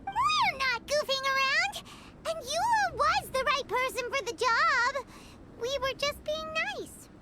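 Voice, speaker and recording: A woman voicing a tiny, excitable fairy companion girl who talks about herself in the third person, eager and pushy, in a video game cutscene. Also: very high voice, measured pace. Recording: game cutscene over loud background music.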